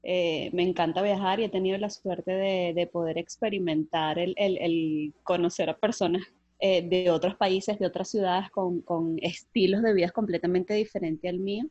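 A woman's voice: on the low side, normal speed, dry recording. Woman guest, chatty and upbeat, dry muffled recording. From Venezuela. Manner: lively, chatty, frequent fillers and restarts, laughs easily. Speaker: podcast guest, entrepreneur. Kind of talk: casual interview chat. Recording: dull, dry lo-fi sound.

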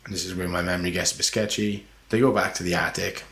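A man with a cold-roughened voice, co-hosting a casual podcast and retelling a film's plot with swearing and acted-out lines. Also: low voice, steady tone, quick pace, a bit bright, roomy sound. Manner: loose retelling, sweary, acts out bits of dialogue. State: hoarse from a cold. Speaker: podcast co-host. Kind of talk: casual film recap chat.

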